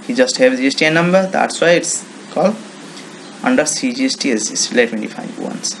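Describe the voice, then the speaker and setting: Male software demonstrator, Indian accent, creaky voice, halting unscripted tutorial delivery, slightly noisy screencast audio. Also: mid-range voice, animated. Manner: halting, non-native English phrasing with repeated check words.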